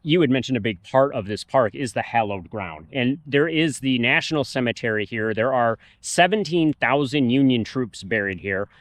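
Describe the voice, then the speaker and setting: A man of middle pitch talks a travel vlog outdoors into a camera mic, and the sound is dry and close. He explains history plainly and at length, in an easy conversational way. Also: animated, normal speed, few pauses, no room sound. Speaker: travel vlogger. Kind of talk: casual travel chat. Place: outdoors at a park.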